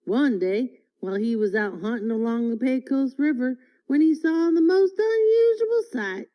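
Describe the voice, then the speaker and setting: A woman reading a folksy tall tale aloud in an expressive, deliberate storytelling manner. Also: sits high. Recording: dull, lo-fi audio.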